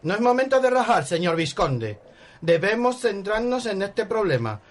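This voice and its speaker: A man's voice: high voice, sing-song, moderate pace. A man playing a pompous, bossy secretary of state in a parody radio soap opera, speaking in emphatic repetitions. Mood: bossy, insistent.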